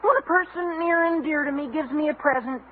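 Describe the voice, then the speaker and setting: Squeaky, self-important adolescent boy, high voice, 1950s sitcom, faint hiss. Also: fairly quick, phone-quality sound. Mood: earnest. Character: squeaky, earnest, pompous teenage boy. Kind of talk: 1950s radio sitcom acting. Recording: thin 1950 radio broadcast with hiss.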